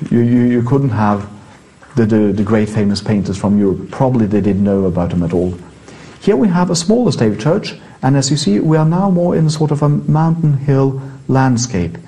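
A male priest with a Norwegian accent and a very mellow, relaxed voice. He talks in an interview, explaining in a conversational way and often stopping to rephrase. His pitch sits in an ordinary male range. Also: poor audio. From Norway.

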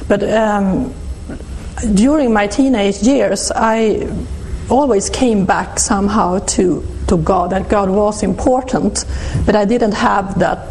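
Swedish-accented woman, fifties, interview guest, mellow tone, hesitant delivery, faint hiss. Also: medium pitch, low-quality recording. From Sweden. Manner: hesitant, halting, many restarts. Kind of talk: reflective personal testimony.

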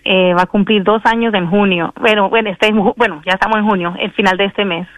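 A young woman from the United States with a slight Antioquia Colombian accent, speaking politely and warmly as a guest on a radio interview. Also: mid-range voice, steady tone, fairly quick, dry recording, poor audio. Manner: polite, warm, devout. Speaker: guest singer.

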